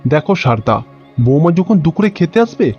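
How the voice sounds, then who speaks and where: A man voicing an easygoing older father-in-law in a cartoon, calm and placating. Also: on the high side, moderate pace, breathy edge, full character voice. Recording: dull lo-fi sound with music.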